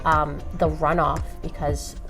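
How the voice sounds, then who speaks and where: A woman with a lowish voice chatting casually as a guest in an interview. She explains things in an informative way, dotting her talk with "um" and quick laughs. Music plays clearly underneath her. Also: flat delivery, a bit bright. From born in North Africa, raised in New York. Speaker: business owner, guest. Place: shop interior.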